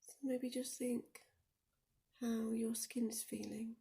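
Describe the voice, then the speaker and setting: A woman leading a guided meditation in a soft, soothing, often near-whispered voice, speaking deliberately with gentle pauses. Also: a little high, level tone, gravelly. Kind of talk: guided body scan meditation.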